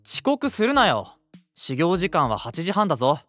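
Audio drama: scolding honor-student boy, earnest, highly animated intonation, light music underneath. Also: normal speed. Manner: strict, earnest.

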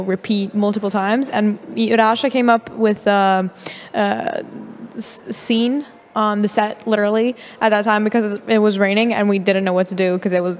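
A woman's voice: mid-range voice, slight background noise. A teenage girl, a young actress, answering interview questions and interpreting for her director, hesitant with many fillers. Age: teens. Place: festival press area, large hall. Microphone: handheld mic. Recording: hall reverb.

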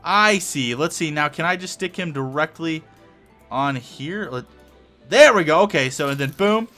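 Young male let's-player, bright and animated, a little hoarse, rambling commentary. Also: a little high, moderate pace, soft background music. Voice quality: hoarse-sounding. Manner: rambling, thinking aloud with many fillers. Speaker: Minecraft let's play host. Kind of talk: casual gameplay commentary.

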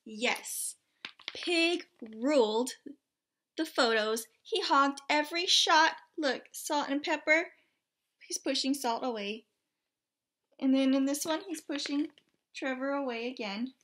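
A woman in her thirties, a teacher reading a picture book aloud expressively, pausing to exclaim and put questions to children. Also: high-pitched, fairly bright tone. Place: small indoor room with bulletin board.

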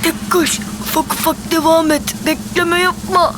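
A woman's voice: very high voice, fairly even intonation, moderate pace. A woman playing a cocky, streetwise, easily rattled boy with brash, slangy talk in a cartoon. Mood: bossy. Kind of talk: children's cartoon voice acting. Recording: loud music behind the voice.

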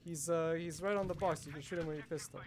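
A young man in voice chat making quick tactical callouts during a game. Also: fairly high pitch, steady tone, slight background noise. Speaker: gamer.

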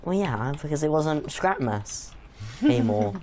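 Young man, a bit croaky, teasing gamer. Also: on the high side, sing-song, unhurried, darkish tone, slight background noise. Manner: chatty, teasing, hesitant with frequent ums. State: sore throat, a bit croaky. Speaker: co-host playing a game with his father. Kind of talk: casual gaming chat.

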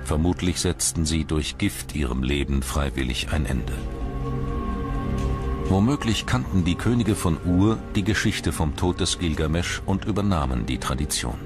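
A man's voice: a bass voice, expressive, normal speed. A man reading scripted documentary narration as the narrator. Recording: music bed under the voice. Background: music.

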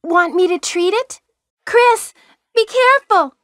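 An eager young female medic from an old video game. Her voice is very high and girlish, with stilted delivery and a close, dry sound. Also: measured pace, a bit bright, dry recording. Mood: earnest, concerned.

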